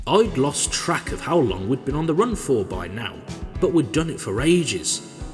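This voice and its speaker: Man acting out animated British railway storybook characters. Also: medium pitch, a little slow, soft background music. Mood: earnest. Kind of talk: scripted audio drama of storybook railway engines, with narration and character voices.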